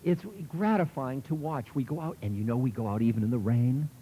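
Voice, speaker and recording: A man's voice: medium pitch, a little fast, mellow, a little hiss or hum, lightly acted, poor audio. A man voicing a tiny, cheerful old Japanese man in his seventies who talks in a delighted, chatty way.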